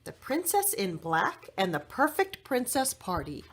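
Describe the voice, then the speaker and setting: A woman reading a children's story aloud in an expressive, deliberate storytelling manner. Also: medium pitch, husky. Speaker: story reader.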